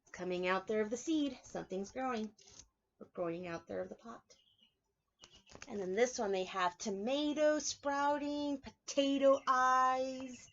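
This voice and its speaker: A woman preschool teacher reading aloud and explaining things to young children, clearly emphasizing key words in an instructive way. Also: a little high, a little slow, fairly bright tone, highs cut off. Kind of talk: children's read-aloud lesson with explanations and questions.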